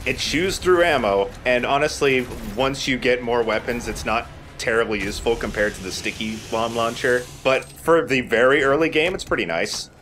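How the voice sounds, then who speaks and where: A man's voice: mid-range voice, moderate pace, few pauses, a bit bright. A man giving casual game commentary as a let's play commentator. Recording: game noise behind voice. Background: game ambience.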